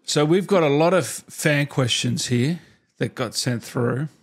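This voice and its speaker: A man's voice: fairly high pitch, measured pace, breathy edge. A man in his thirties hosting a casual interview, asking short informal questions into a close desk microphone. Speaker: host, interviewer. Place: small indoor streaming room. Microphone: desk microphone close up, headset on.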